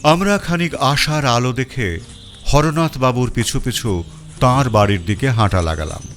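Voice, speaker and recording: A man's voice: medium pitch, moderate pace, a bit bright, soft background music. A man narrating a first-person detective story in a storytelling style.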